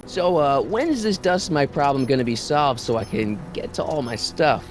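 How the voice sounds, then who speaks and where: An actor voicing an exasperated, flippant teenage son with an American accent, in a hesitant, incredulous manner for a video game. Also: male voice, medium pitch, animated, moderate pace, faint music behind, close to an everyday voice.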